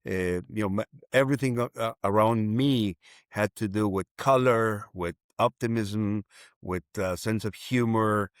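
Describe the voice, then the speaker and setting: Low, smooth-voiced man. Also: no room sound, studio quality. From Mexico. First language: Romanian. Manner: reflective, unhurried, pauses to find words. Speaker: podcast guest. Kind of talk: conversational interview storytelling.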